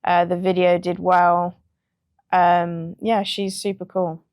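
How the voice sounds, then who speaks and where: A woman's voice: fairly low pitch, takes it slowly, dry recording, studio quality. A young British woman, a music producer, chatting casually in an interview with plenty of ums. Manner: relaxed, chatty, frequent ums and laughs.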